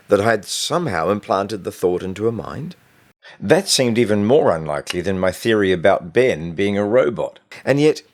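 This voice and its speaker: A man with a low-pitched voice reads a first-person novel aloud. His narration is scripted but conversational, like someone recounting his own school days. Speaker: author reading own novel.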